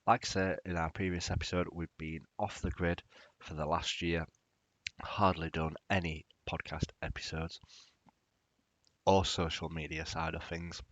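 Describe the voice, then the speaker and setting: A man's voice: low-pitched, level tone, a little slow, fairly bright tone, dry recording. A man hosting a podcast, talking in a soothing, casual and rambling way. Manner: chatty, rambling, with fillers. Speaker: podcast host.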